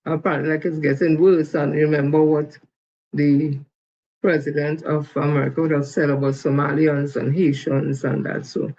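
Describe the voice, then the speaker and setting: A man hosting a live stream, greeting viewers and commenting in a loose, halting way. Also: on the high side, flat delivery, a little mellow, highs cut off. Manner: loose, halting delivery with fillers. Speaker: host.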